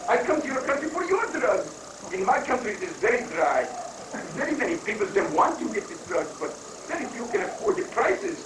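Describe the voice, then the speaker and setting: A flamboyant foreign guru, played by a man in a comic stage reading, cheerfully dodging and rambling in mock-pious phrases. The voice sits high. It comes from off at a distance in an echoey room, on a noisy 1980s live recording. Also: sing-song, fairly quick. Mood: teasing, excitable. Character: flamboyant wandering foreign guru, rock-obsessed, evasive and cheerful.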